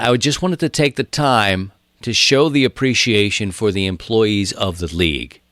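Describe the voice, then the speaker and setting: A man in his thirties talking through his podcast in a loose, rambling way, circling back and repeating his points. His voice sits on the low side and comes across dry and close, with no room around it. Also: a bit bright, dry recording. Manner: rambling, conversational, repeats phrases for emphasis. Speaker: podcast host. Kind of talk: casual solo podcast talk.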